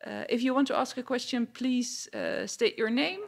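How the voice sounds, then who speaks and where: Dutch woman moderating, gravelly creaky voice. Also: a little high. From Netherlands. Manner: halting, hesitant phrasing. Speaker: panel moderator. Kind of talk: moderating a public panel discussion.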